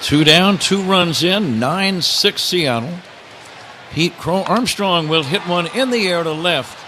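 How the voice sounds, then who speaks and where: Male baseball radio announcer, American accent, higher-pitched voice, live play-by-play, noisy ballpark broadcast. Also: animated, slow, pushed and bright. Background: clear outdoor stadium noise.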